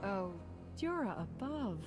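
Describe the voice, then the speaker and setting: An actress playing a solemn, forthright religious sister in a fantasy game, speaking formally. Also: female voice, mid-range voice, very animated, slow pace. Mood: solemn, firm. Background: loud game music.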